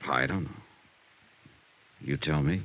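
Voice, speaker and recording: An actor playing a world-weary, wry insurance investigator in a vintage radio noir drama, with terse, punchy delivery. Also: male voice, extremely low pitch, takes it slowly. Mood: tired, measured. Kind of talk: 1950s radio noir acting and narration. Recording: dull, noisy old radio recording.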